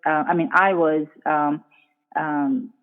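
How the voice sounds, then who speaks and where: A middle-aged woman from Iran, speaking English as a later language, talking hesitantly with many pauses as a guest author. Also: low-pitched, fairly even intonation. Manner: hesitant, many ums, laughs easily. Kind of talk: casual interview chat. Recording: tinny phone-like line.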